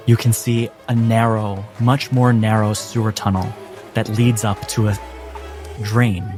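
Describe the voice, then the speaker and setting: A man narrating a tabletop game as game master, with deliberate, dramatic phrasing. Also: on the low side. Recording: music behind the voice. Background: clear music.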